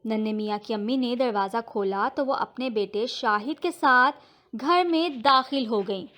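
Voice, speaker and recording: Woman, higher-pitched voice, storyteller, scripted narration, children's moral tale. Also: measured pace, a bit bright.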